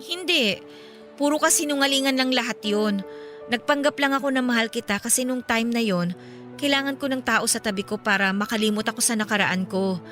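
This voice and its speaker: A woman's voice: fairly high pitch, fairly quick, fairly bright tone, faint music behind, natural voice. An actress playing a weary, guilt-ridden young girlfriend, exasperated and apologetic, in a radio drama. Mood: guilty, apologetic.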